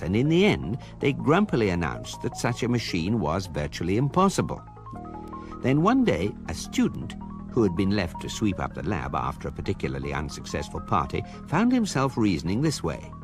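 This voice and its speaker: Comic radio narrator: deadpan, urbane Englishman, lowish yet expressive, music underneath. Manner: deadpan, articulate, drily authoritative. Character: urbane, wry male narrator of a galactic guidebook.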